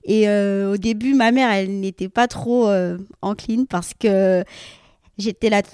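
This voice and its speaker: A woman's voice: medium pitch, steady tone, a little slow, a little mellow, clean tone, no room sound, clean studio sound. A young woman from French Guiana answering interview questions, hesitant, with many fillers and frequent laughter. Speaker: guest athlete.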